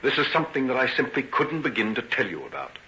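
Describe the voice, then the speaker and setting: An actor playing a tormented, self-sacrificing gentleman doctor in old-time radio drama, speaking in earnest, formal, theatrical phrases. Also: mid-range voice, sing-song, fast. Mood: anguished, resigned. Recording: dull, noisy old radio recording.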